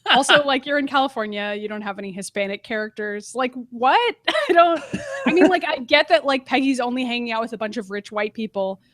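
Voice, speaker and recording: A woman's voice: on the high side, expressive, a little fast, fairly bright tone, dry recording, studio quality. A young woman co-hosting a casual podcast, chatty, peppering her talk with "like" and frequent laughs. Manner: chatty, lots of "like", laughs often. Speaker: podcast co-host. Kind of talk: casual chat.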